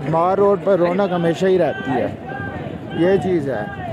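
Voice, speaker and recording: A man's voice: high-pitched, expressive, unhurried, lo-fi sound. Chatty young man. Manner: chatty, calls out to his friend while walking. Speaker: vlogger. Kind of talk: casual walking vlog. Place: busy street market outdoors at night. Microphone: phone held at arm's length. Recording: busy crowd noise behind. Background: crowd chatter and traffic.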